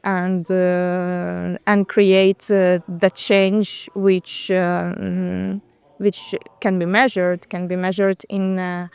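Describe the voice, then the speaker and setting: A woman's voice: fairly low pitch, level tone, drawn-out words, vocal fry, a little mellow, clean tone, dry recording. A woman from Russia, whose first language is Russian, answering interview questions as a guest, speaking hesitantly with many fillers and restarts. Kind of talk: casual interview conversation. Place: outdoors on a bench at a city event.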